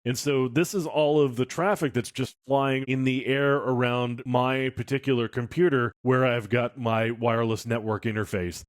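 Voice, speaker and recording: Male tutorial instructor, middle-pitched voice, informal walk-through delivery, very dry sound. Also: fairly even intonation, moderate pace, hardly any pauses, no room sound. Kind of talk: screencast tutorial, explaining as he demonstrates.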